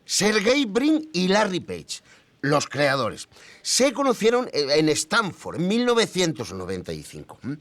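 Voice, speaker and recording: An actor playing a self-assured professorial expert, explaining history didactically in a documentary interview with questioning little hums. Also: male voice, high-pitched, huge pitch swings, unhurried, fairly bright tone, dry recording, full character voice. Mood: explanatory.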